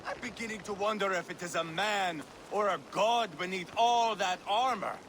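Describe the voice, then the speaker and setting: An actor playing a mocking, swaggering Viking warlord in a game cutscene, with a theatrical, taunting delivery. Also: male voice, high-pitched, moderate pace, fairly bright tone, a little hiss or hum. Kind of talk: dramatic game cutscene acting.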